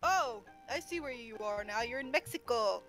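A woman with a bright, slightly high voice chats casually over a retro game stream. She laughs often and sometimes trails off while hunting for a word. Soft music plays behind her. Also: expressive, normal speed. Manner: chatty, laughs often, searches for words.

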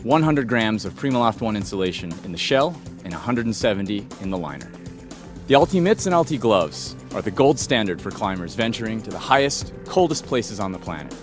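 A man's voice: fairly low pitch, sing-song, a little fast, over music. A man in his thirties, an athlete ambassador, enthusiastically presenting winter mitts outdoors in the snow. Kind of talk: product presentation. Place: outdoors on a snowy mountain.

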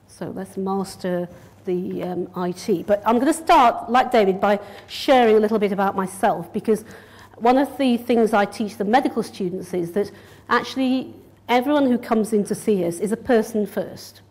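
A woman's voice: mid-range voice, normal speed, darkish tone. A middle-aged woman from Yorkshire, a kidney doctor, giving a public lecture in a direct, organised, conversational way at a lectern mic. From Yorkshire, England. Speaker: consultant nephrologist. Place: lecture room, indoors.

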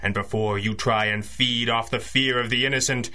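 An actor playing a menacing masked vigilante in a radio drama, grandiose and taunting like a mocking spirit of vengeance. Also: male voice, mid-range voice, steady tone, normal speed, bright, forceful tone. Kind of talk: 1930s-style radio drama acting.

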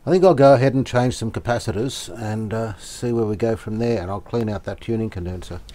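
A man giving casual, unhurried commentary as he works, thinking aloud. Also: fairly low pitch, mellow. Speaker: host, radio restorer. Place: small cluttered indoor workshop. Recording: clear background noise, home workshop.

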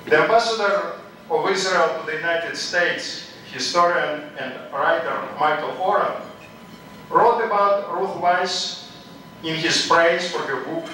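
A middle-aged man reading a formal, measured introduction into a handheld mic at a podium in a large banquet hall. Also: fairly high pitch, bright, forceful tone, a little hiss or hum. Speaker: event host introducing speaker. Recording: echoey hall, mic from far off.

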